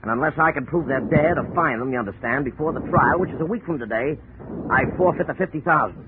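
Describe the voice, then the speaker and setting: An actor voices a blustering, streetwise bail bondsman with gruff, wheedling patter. He comes through a tinny, thin old radio broadcast, with a clear hiss of noise behind him. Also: a little high, fast, natural voice. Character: pushy, blustering middle-aged male bail bondsman. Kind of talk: 1940s radio acting.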